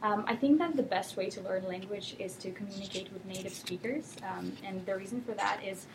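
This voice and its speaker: Gravelly-voiced young woman from Russia. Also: mid-range voice, fairly quick, background noise. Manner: thoughtful, many fillers. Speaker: guest. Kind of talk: answering interview questions.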